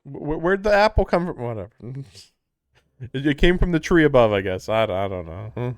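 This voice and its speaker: A man's voice: medium pitch, expressive, a little fast, dry recording. A man doing casual livestream commentary on a game, with skeptical, offhand asides, close on the microphone.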